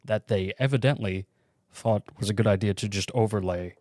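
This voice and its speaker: Male podcast co-host, lowish voice, dry sound, casual explainer who chimes in agreeably. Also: moderate pace, a little mellow, dry recording.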